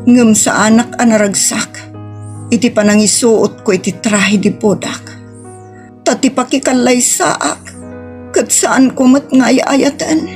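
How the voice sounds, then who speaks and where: Actress playing a slow, high-voiced sorrowful Ilocano woman. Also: darkish tone. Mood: tender, heavy-hearted. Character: sorrowful bride and her anxious, doting mother. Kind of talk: Ilocano audio drama acting. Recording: music bed under the voice.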